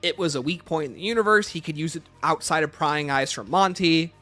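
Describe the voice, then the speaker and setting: A man's voice: on the high side, a little fast, bright, forceful tone, soft background music. A man hosting a livestream, casually reading out questions and answering them with emphatic opinions.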